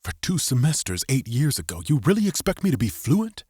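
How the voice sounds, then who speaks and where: A man's voice: mid-range voice, very animated, brisk, a little mellow, slightly rough, no echo, clean studio sound, pitched above the performer's own voice. A man voicing a wary, exasperated sidekick to a bold thief in an audiobook. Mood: exasperated, defensive. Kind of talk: dramatized audiobook acting.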